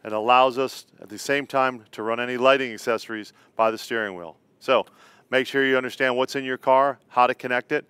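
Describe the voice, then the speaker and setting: A man's voice: medium pitch, fairly even intonation, a little fast, bright, forceful tone, clear, resonant tone, no room sound. A middle-aged American man presents an instructional product walkthrough, explaining step by step in a plain-spoken way. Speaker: company co-founder presenting product tutorial. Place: indoor studio set.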